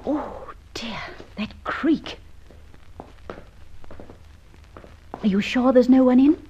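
A woman's voice: high voice, unhurried, noisy background. A woman acting a game, watchful young partner in an old-time radio adventure serial, speaking in an earnest, cautious, questioning way. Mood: nervous. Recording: dull, band-limited old radio recording.

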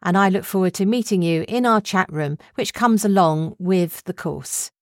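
A woman's voice: on the low side, moderate pace, no echo, studio quality. A middle-aged British woman presenter reading a scripted promotional message.

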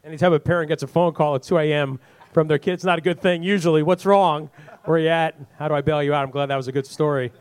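Guy hosting a fan panel, kinda high voice, jokes around between questions. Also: steady tone, fast talker, smooth. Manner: chatty, jokey questioning. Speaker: panel host.

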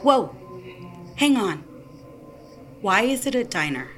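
A woman's voice: on the high side, big pitch swings, takes it slowly, a bit bright, soft background music, heavily acted. An actress playing a skeptical, sardonic woman newcomer in audio fiction, blunt and questioning. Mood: incredulous.

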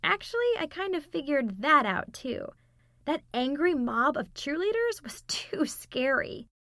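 A woman's voice: high voice, very animated, moderate pace, bright, forceful tone, no echo, clean studio sound, voice pushed up, full character voice. A woman reading a storybook, voicing a playful, dorky teenage girl heroine with a sing-song delivery. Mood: sheepish.

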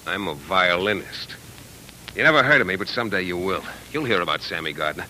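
Forceful, low-pitched, touchy struggling musician. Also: male voice, lively intonation, fairly quick, full character voice. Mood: cynical. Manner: cynical, defensive, earnest. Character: skinny, proud, touchy young struggling New York violinist. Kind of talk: 1940s radio acting. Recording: noisy old radio broadcast with rumble.

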